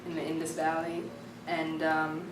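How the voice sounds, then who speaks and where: A woman's voice: low-pitched, completely flat delivery, normal speed, noisy background. A young woman student talking casually and enthusiastically about her class, with many fillers. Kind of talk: interview, casual reflection. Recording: echoey room from far off, music behind.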